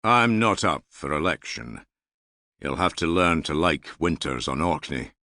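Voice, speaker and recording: A man's voice: extremely low pitch, big pitch swings, a little slow, pushed and bright, no room sound, full character voice. A man voicing a self-assured Norse earl in an audiobook, with a dry, amiable irony. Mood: firm. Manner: dry, amiable, gravely ironic. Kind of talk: audiobook character dialogue.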